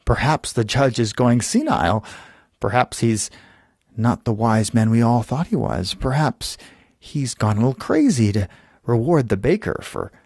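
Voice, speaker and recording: A man telling a bedtime story as host, with deliberate phrasing and dramatic pauses. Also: medium pitch, lively intonation, a little mellow, breathy edge, no echo, clean studio sound.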